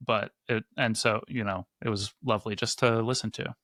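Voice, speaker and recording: A man co-hosting a conversation about poetry, speaking in a halting, hedging way with many restarts and fillers. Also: on the low side, steady tone, fairly bright tone, no room sound, clean studio sound. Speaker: podcast co-host. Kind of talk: conversational chat and poetry reading.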